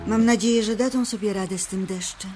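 A woman's voice: medium pitch, steady tone, a little fast, over loud music, natural voice. A woman playing a homely, fussing, affectionate troll mother in a radio play. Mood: gentle.

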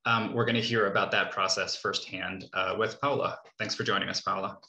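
Bright-voiced man moderating, echoey room. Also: low voice, fairly even intonation, brisk. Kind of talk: informal moderating on a video call. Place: living room, indoors. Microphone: laptop mic on a video call.

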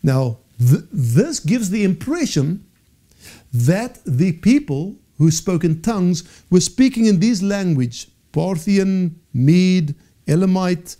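Afrikaans-native male teacher, 40s, high mellow voice, earnest and self-correcting, studio lapel mic. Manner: earnest, animated hand gestures, frequent self-corrections. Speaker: Bible teacher. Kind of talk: teaching session to camera. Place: studio with dark backdrop.

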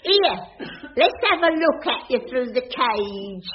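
A woman's voice: on the high side, expressive, slow. A woman playing a gossipy, amorous, scatterbrained older cleaner in a radio sitcom. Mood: gossipy, oblivious. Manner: gossipy, dim, cheerful. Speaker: sitcom actress. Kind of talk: vintage radio sitcom acting. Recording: muffled noisy radio recording, studio audience.

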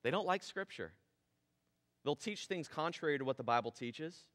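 A man in his thirties preaching a casual, animated sermon on a church stage. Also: a little high, moderate pace. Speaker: pastor. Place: church stage with drum kit. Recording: long hall reverb in church.

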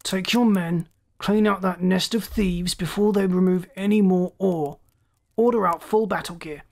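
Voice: medium pitch, steady tone, measured pace, vocal fry. Stern, hot-tempered spaceship captain read by a narrator. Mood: angry, commanding. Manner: commanding, thundering orders. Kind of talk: science fiction storybook dialogue.

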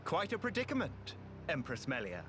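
Fawning, swooping courtly soldier. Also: male voice, fairly high pitch, huge pitch swings, moderate pace. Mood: courtly flattery. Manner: florid formal diction, obsequious. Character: fawning courtly male soldier, smoothly obsequious and scornful of rivals. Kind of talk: acted video game cutscene dialogue. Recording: music behind the voice.

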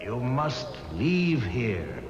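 An actor playing a menacing, mysterious graveyard stranger, ominous and declaiming, in 1970s radio horror style. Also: male voice, mid-range voice, big pitch swings, extremely slow. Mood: ominous warning. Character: eerie, menacing graveyard stranger, man or ghost. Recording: muffled old radio recording with noisy ambience.

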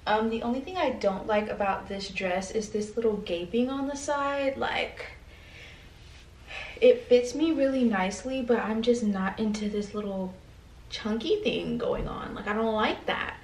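A woman's voice: on the high side, a little slow. A young woman in her twenties chatting upbeat and casual as a fashion vlogger, talking to a camera across her bedroom. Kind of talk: casual vlog chat. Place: bedroom at home, indoors. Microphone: camera across the room. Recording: roomy, from a distance, faint hiss.

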